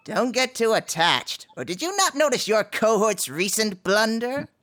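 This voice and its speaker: A woman's voice: a little high, lively intonation, a little slow, a bit bright, a little hiss or hum. A woman voicing a stern, taunting cartoon hero with declamatory, scolding delivery in a live comic dub.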